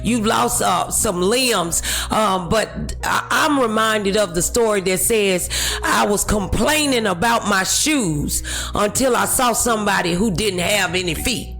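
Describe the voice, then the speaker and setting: A woman's voice: mid-range voice, a little slow, vocal fry, a bit bright, soft background music. A woman with an American accent hosting a motivational talk show, speaking in an encouraging, preacher-like way.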